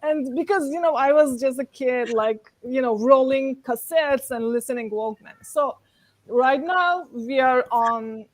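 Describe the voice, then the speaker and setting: A woman's voice: high-pitched, unhurried, low-quality recording. A woman whose first language is Turkish, speaking as a panelist with many fillers like "like" and "you know". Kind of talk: casual panel discussion.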